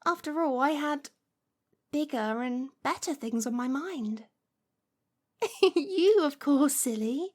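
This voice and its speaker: A woman's voice: sits high, expressive, slow, long pauses. A woman playing a lovestruck, possessive witch in an ASMR roleplay, cooing and coaxing with a condescending sweetness. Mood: dreamy, smitten.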